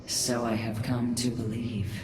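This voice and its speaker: A woman playing a wise, grave alien matriarch in a video game, speaking in a formal, measured way. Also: extremely low pitch, flat delivery. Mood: grave, reflective. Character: wise alien matriarch, grave, reflective dark Templar leader. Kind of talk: dramatic video game voice acting. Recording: echoey, distant, loud ambient noise.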